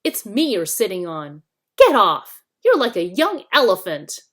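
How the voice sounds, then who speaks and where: A woman voicing an irritable male dwarf in a storybook, loud, bellowing and indignant. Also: mid-range voice, wildly swooping pitch, a little slow, slightly rough, exaggerated voice. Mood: angry. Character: gruff, irritable male dwarf, loudly grumbling.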